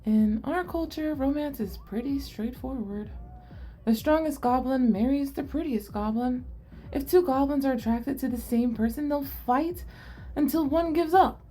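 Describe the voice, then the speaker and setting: A woman's voice: high voice, unhurried, mellow, soft background music. A woman playing a shy, curious, nerdy young goblin girl in an ASMR roleplay, speaking earnestly and expressively to the listener. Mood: eager, chatty.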